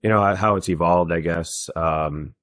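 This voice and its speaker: A man's voice: low-pitched, unhurried, fairly bright tone, no room sound, studio quality. A man hosting a podcast in casual conversation, talking in a rambling way with many fillers and restarts. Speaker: podcast host. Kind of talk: casual chat.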